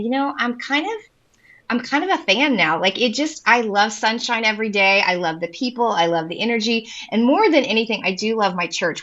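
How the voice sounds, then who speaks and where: A woman's voice: mid-range voice, a bit bright, lo-fi sound. A woman in her forties from the American Midwest, talking as a podcast guest in an enthusiastic way with many ums. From Indiana, US Midwest. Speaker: podcast guest, women's ministry leader. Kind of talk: casual interview chat.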